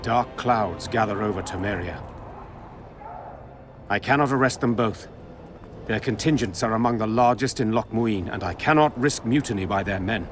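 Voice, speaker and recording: Game casting: man playing commanding regent-soldier, lowish male voice, formal and forceful, noisy ambience behind. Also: natural voice. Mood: troubled, solemn. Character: stern, commanding, honourable military regent of a kingdom. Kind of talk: video game voice acting.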